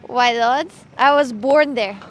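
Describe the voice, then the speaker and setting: A woman from Russia, streaming casual chat on a street with her phone, excitable and playful. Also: a little high, slow, slight background noise.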